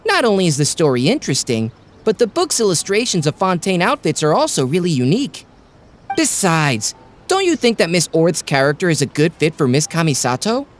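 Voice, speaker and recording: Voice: mid-range voice, sing-song, a little fast, a little hiss or hum, close to an everyday voice. An actor playing a confident book-loving townsperson in an anime game, explaining in a self-assured way. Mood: smug, enthused.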